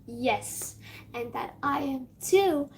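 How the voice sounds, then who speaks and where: A young woman from Morocco with a Moroccan accent, Amazigh her first language, chatting casually and excitably, rambling with many fillers and dropping into whispers. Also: high-pitched, slow pace, slight background noise. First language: Amazigh (Tamazight). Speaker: vlogger.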